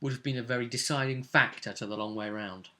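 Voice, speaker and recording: Bright-voiced man hosting a roleplay recap. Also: mid-range voice, steady tone, quick pace. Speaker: game master and show host. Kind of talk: casual recap chat about a tabletop roleplay session.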